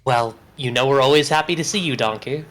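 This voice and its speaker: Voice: medium pitch, fairly quick, a bit bright, close to an everyday voice. A reader voicing a hopeful newlywed princess at a table read, coaxing and persuasive. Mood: reassuring. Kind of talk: table read of a film script.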